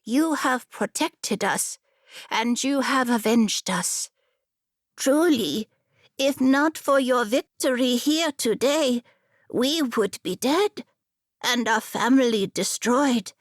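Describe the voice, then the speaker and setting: Young animal cub for a storybook fable played by a woman: high, earnest, pleading, slow formal phrasing. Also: dry recording, clean studio sound. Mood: earnest gratitude. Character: grateful, anxious young wolf cub in a fable.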